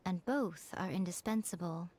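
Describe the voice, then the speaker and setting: A woman's voice: on the low side, moderate pace, darkish tone, lightly acted. A woman playing a sly, playful shrine maiden in a video game, with a wry, teasing, drawling delivery. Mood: gentle, knowing. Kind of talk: English video game voice acting.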